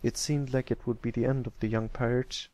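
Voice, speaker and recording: A man's voice: medium pitch, level tone, a little fast, darkish tone, no echo. A man reading a story aloud as an amateur narrator, in a scripted storybook style. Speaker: amateur fan fiction reader.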